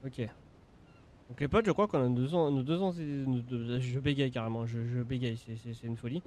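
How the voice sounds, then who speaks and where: A man's voice: mid-range voice, moderate pace, a little mellow. Casual male gaming commentator. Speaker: gaming video creator.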